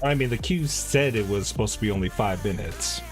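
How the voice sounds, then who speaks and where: Low-voiced man casually streaming a game. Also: a little fast. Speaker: live streamer playing an online game. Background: music behind the voice.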